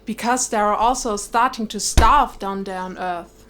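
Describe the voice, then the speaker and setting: A woman's voice: mid-range voice, normal speed, a bit bright, a little hiss or hum, natural voice. A woman playing a steadfast, sceptical moon-station settler in an audio drama, firm and opinionated.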